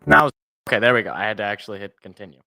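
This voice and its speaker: American male sports host, bright but congested and a little raspy, rambling and jokey. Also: on the low side, animated, rapid-fire. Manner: rambling, jokey, repeats phrases for emphasis. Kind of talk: casual solo sports talk. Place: indoors at home.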